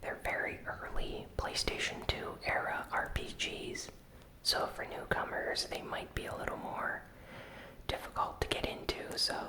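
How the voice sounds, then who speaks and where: A host giving ASMR gaming news commentary in a breathy, unvoiced whisper, soft-spoken and unhurried. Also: rough recording.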